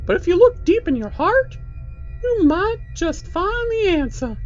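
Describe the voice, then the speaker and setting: A man voicing a menacing, gleefully preachy puppet from a children's show, talking in a slow, smug drawl. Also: very high voice, very animated, highs cut off, faint music behind, pitched above the performer's own voice, exaggerated voice. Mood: smug menace.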